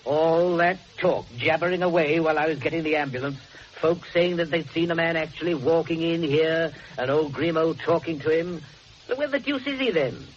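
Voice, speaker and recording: An actor playing an exasperated, practical police inspector in a radio drama, blustery and sceptical, with a stiff official manner. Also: male voice, fairly high pitch, normal speed, lightly acted. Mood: grumbling, sceptical. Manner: blustery, sceptical, formal when questioning. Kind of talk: full-cast radio mystery drama acting. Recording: muffled noisy old radio recording.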